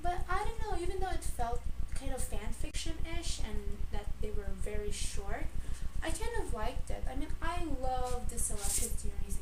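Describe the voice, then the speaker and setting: A young woman from the Philippines chatting casually about books, animated and full of fillers and restarts. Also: high voice. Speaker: book vlogger. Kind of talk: casual book tag vlog. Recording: echoey room, mic at a distance, audible background noise.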